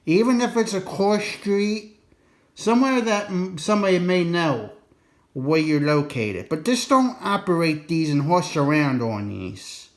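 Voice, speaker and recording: A man's voice: high voice, lively intonation, unhurried. A man giving a casual, unscripted explainer in a folksy, colloquial way, rambling a bit and repeating his warnings for emphasis. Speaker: presenter of an instructional radio video.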